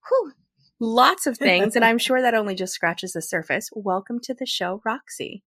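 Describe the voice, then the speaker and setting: A woman's voice: a little high, animated, normal speed, a bit bright, no room sound, clean studio sound. A woman hosting an interview podcast, asking thoughtful questions in a conversational way. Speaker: podcast host.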